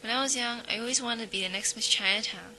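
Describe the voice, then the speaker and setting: A woman's voice: fairly high pitch, fast talker, bright, forceful tone, a little hiss or hum, poor audio. A young woman narrating a personal documentary in a reflective voice-over.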